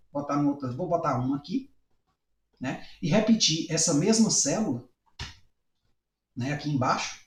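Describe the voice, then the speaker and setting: Lively Brazilian man teaching code. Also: high-pitched. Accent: Brazilian Portuguese. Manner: casual explaining, frequent tag questions and "ó". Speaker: programming teacher. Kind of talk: screen-recorded coding tutorial. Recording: echoey room.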